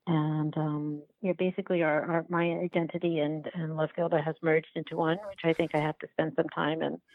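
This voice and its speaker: A woman being interviewed about her work, speaking reflectively and haltingly as she searches for words. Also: deep voice, flat delivery, narrow, tinny audio. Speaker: documentary filmmaker, interview guest. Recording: roomy, band-limited remote-call sound.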